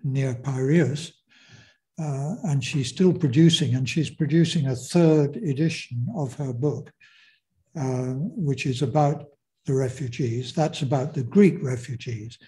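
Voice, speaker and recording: Soft-spoken male lecturer answering questions. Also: medium pitch. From Britain. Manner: hesitant, measured, many fillers.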